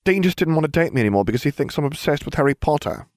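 A chirpy, upbeat teenage girl, as a male reader puts her on, raised well above his own pitch with a bouncy melody. She talks in a breezy, matter-of-fact way. The voice is recorded close and dry. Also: expressive, racing, no echo, close to an everyday voice. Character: teenage girl, cheerful, chirpy younger sister.

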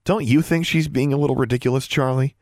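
A man's voice: medium pitch, steady tone, fast, darkish tone, no room sound, clean studio sound, pitched above the performer's own voice, close to an everyday voice. A man voicing a headstrong, eager young schoolgirl who talks emphatically in a storybook reading. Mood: defensive.

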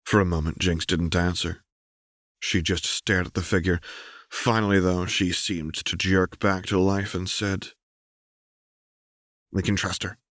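A man's voice: deep voice, flat delivery, unhurried, a bit bright, no room sound, clean studio sound. A man reading fiction as a scripted audiobook narration.

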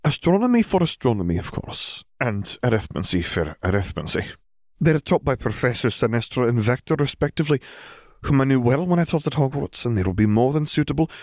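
Storybook role: strict older witch professor, played by a man, formal and measured, raised pitch, close dry recording. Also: big pitch swings, a little mellow, dry recording. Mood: brisk, instructive. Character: stern older female magic school teacher.

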